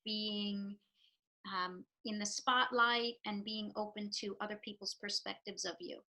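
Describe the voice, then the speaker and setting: Interview guest: woman with a New York accent, bright and forceful tone, reflective and earnest. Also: mid-range voice, fairly even intonation, measured pace. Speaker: real estate professional. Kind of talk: interview answers.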